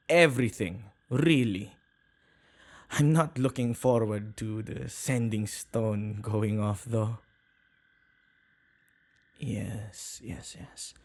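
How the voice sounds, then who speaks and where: A man acts out a shy, kind-hearted male witch for an ASMR roleplay. He talks slowly at a middling pitch, halting and stammering a little, as an awkward keeper of ghosts would. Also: vocal fry, darkish tone. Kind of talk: ASMR boyfriend roleplay, acted monologue.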